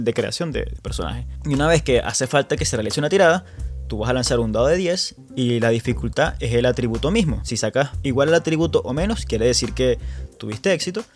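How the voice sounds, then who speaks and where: Venezuelan man hosting a podcast. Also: medium pitch. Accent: Venezuelan Spanish. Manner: chatty, frequent restarts and repeated words. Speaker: podcast host. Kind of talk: casual podcast talk.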